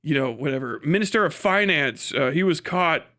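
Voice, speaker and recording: A man's voice: on the high side, animated, moderate pace, sharp tone. An American man giving casual, off-the-cuff live commentary as a streamer, joking and swearing irreverently. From United States. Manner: breaks often into chuckles. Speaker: livestreamer and podcast host.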